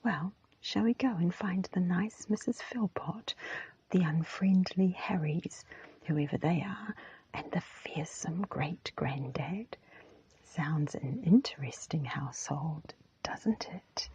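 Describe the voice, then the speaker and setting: A woman voicing a polite, confident, cheerful schoolboy in a storybook, in a breathy whisper. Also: lowish voice, slow pace, dark tone, lo-fi sound, slight background noise. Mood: good-humoured. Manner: polite, good-humoured.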